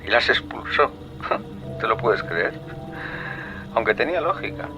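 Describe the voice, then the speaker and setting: An actor with an accent from Spain playing a calm serial killer who recounts his crimes in a measured, sardonic way, in a radio drama. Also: medium pitch, lo-fi sound, over music, lightly acted. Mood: chillingly matter-of-fact. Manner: measured, coldly matter-of-fact, darkly sardonic. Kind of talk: Spanish radio drama acting.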